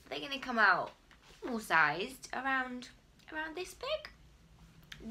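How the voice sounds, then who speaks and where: An English woman in her thirties hosting her own crochet video podcast, her voice croaky, chatting warmly with plenty of ums. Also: high-pitched. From England. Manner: chatty and friendly, many ums. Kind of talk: casual solo video podcast.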